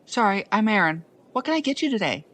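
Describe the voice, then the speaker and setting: An actress playing a polite counter server in an audio drama. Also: female voice, mid-range voice, sing-song, quick pace, a bit bright. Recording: very noisy background.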